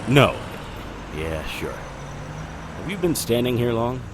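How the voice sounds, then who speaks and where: An actor playing a gruff, sardonic occult investigator in a noir audio drama, wry and grumbling. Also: male voice, mid-range voice, very animated, slow, noisy background. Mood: wry, hurried. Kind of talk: audio drama acting with noir first-person narration.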